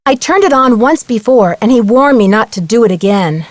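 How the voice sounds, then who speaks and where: An earnest young female detective played by a voice actress in a video game. Her voice is fairly high and dryly recorded, with a rueful, self-deprecating manner. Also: lively intonation, normal speed, no room sound, natural voice. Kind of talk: scripted game dialogue.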